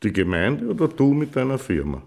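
A man acting in a radio play as a slick, mocking, self-assured small-town official with a sardonic, smooth-talking manner. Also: mid-range voice, sing-song, brisk, a little mellow. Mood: irritated. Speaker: radio drama actor.

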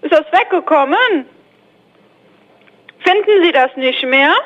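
A woman's voice: high-pitched, expressive, slow. A woman answering a telephone as a receptionist, polite and helpful in her questions. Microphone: telephone call. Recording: muffled telephone line.

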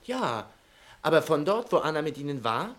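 An earnest schoolboy in a vintage German radio play, his voice on the high side. He is polite and a little hesitant, yet keeps probing stubbornly. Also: very animated, moderate pace, a bit bright. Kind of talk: 1960s German radio drama acting.

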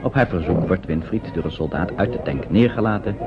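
Male narrator for radio drama: low voice, scripted storytelling, music underneath, dull old recording. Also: animated, a little fast.

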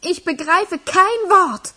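A woman's voice: very high-pitched, lively intonation, slow pace, sharp tone, full character voice. An actress playing an exasperated, practical fugitive woman, blunt and impatient, in a radio crime drama. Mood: exasperated, baffled.

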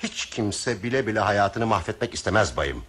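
An actor playing a coolly formal, skeptical and sardonic train passenger in a radio drama. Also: male voice, on the low side, animated, moderate pace, fairly bright tone, slightly rough. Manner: formal, pointed.

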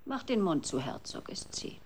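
An actress playing a cheeky, defiant village maid in a children's radio drama, teasing and self-assured. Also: female voice, fairly low pitch, very animated, normal speed, a little mellow, slight background noise. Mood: smug, teasing.

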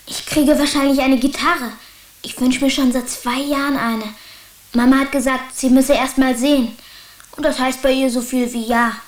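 A woman's voice: sits high, fairly even intonation, moderate pace, echoey room, close to an everyday voice. A woman acting a young schoolboy with a direct, childlike way of talking in a German radio play. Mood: eager. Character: young schoolboy Anton, sulky yet eager.

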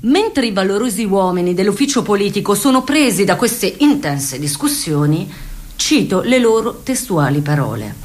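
A woman's voice: medium pitch, animated, moderate pace, darkish tone. A woman narrating satirical radio theatre with a dramatic, mock-official delivery. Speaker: actress and narrator.

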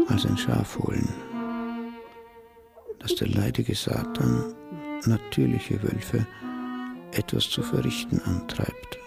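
An actor playing an obsessive, puzzled reader of old demonology, declaiming dramatically in a radio drama. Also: male voice, lowish voice, gravelly, heavily acted. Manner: slow, dramatic declaiming with whispered asides.

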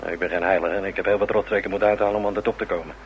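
A man playing a brash, cynical band musician in a radio drama, talking in a gruff, slangy, dismissive way. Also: deep voice, fairly even intonation, very fast, lightly acted. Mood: boastful, cynical. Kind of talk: Dutch radio drama acting. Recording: muffled, noisy old radio drama recording.

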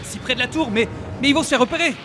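A man's voice: extremely high pitch, racing, music in the background, voice pushed up. A man voicing a worried, hesitant rebel soldier reporting to a captain in an audio drama, with faint noise behind. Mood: anxious.

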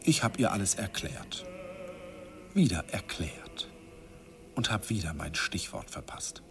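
Older man looking back, very low voice, wistful and self-ironic, radio play narrator. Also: sing-song, a little slow, creaky, music in the background, full character voice. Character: grown man fondly recalling his youth, self-mocking.

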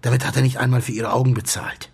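An actor playing a grieving doctor in a radio drama, confessing in a halting, subdued voice that often sinks toward a whisper. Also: male voice, mid-range voice, little pitch movement, creaky, breathy edge. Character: grieving male doctor confessing to his friend's staged death. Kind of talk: German radio drama acting.

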